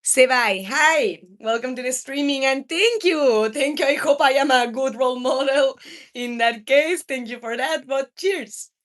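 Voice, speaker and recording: Higher-voiced Mexican woman chatting on stream. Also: a little slow, a bit bright. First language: Spanish. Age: 30s. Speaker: video game live streamer. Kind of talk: casual livestream chat, answering viewers.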